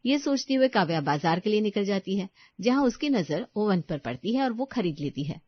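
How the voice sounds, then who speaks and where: A woman's voice: medium pitch, big pitch swings, moderate pace, no room sound, muffled recording. A woman reading scripted narration for a bedtime story.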